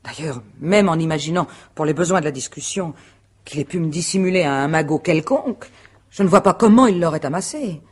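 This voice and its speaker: Radio mystery: proud wife under interrogation, husky, poised, formal, dryly ironic. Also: female voice, low-pitched, expressive, a little fast. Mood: composed, firm. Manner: articulate, formal, self-assured, dryly ironic. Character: composed, proud wife and agency director under questioning. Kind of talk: French radio mystery drama acting.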